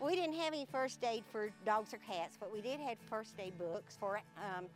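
A woman's voice: on the high side, moderate pace, soft background music, low-quality recording. Southern woman librarian telling funny stories. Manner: laughs often while telling funny stories. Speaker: town librarian. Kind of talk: casual anecdote telling in an interview.

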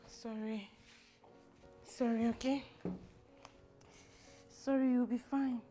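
High-voiced, worried young woman. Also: fairly even intonation, slow, dark tone, soft background music. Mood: soothing. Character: worried, scolding young woman in family crisis. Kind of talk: screen drama acting.

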